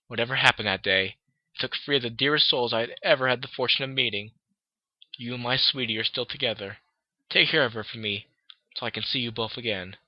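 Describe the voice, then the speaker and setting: A refined, grieving unicorn mare reads her farewell letter in a soft voice, with a man doing the part. The recording is dry and slightly dull. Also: medium pitch, a little fast, no echo, close to an everyday voice. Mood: tender.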